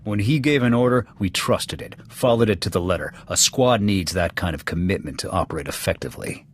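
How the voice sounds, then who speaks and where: An actor playing a gruff, proud alien military officer in a video game, with an American accent and a blunt, clipped military delivery. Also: male voice, low voice, lively intonation, a little fast. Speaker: soldier serving as second to his commander. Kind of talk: video game dialogue acting. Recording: background music under the dialogue.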